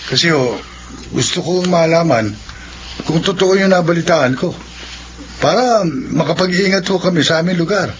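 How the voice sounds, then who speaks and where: A muffled radio drama recording with noise behind. An actor plays an inquisitive Filipino village man, respectful in manner, with a higher-than-usual male voice. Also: lively intonation, normal speed, pauses often, natural voice. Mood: curious, respectful.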